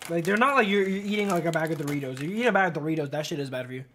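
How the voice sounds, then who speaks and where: A man's voice: a little high, racing, sharp tone, a little hiss or hum. A man chatting casually as a game streamer, talking to viewers in a slangy, profane way. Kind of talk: casual live-stream chat and read-aloud of a visual novel. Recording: music under the voice. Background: music.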